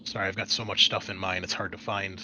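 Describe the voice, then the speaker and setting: Bright-voiced man running a tabletop game. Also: sits low, level tone, fast, a little hiss or hum, rough recording. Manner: descriptive, conversational scene-setting. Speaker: game master.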